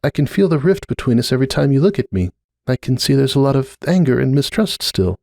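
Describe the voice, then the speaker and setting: Pony mother for storybook drama, played by a man: lifted pitch, lively swings, hesitant, earnest, stubbornly defensive. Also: quick pace, creaky, dry recording, studio quality. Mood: embarrassed.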